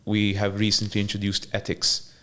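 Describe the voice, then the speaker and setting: A man's voice: lowish voice, completely flat delivery, normal speed, a bit bright. A man who is a podcast guest, telling stories from his life in a laid-back, rambling way with frequent restarts and easy laughter. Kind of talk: casual interview storytelling.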